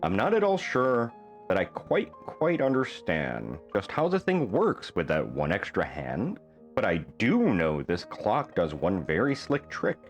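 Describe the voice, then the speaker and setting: A man reading a rhyming children's bedtime story aloud in a playful, theatrical manner with deliberate phrasing. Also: on the low side, huge pitch swings, soft background music. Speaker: storybook reader.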